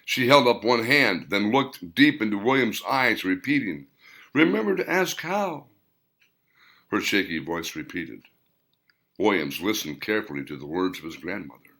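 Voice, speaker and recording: A man narrating his own novel as an audiobook, telling it expressively. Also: normal speed. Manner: expressive storytelling with wide pitch swings. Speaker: author and narrator.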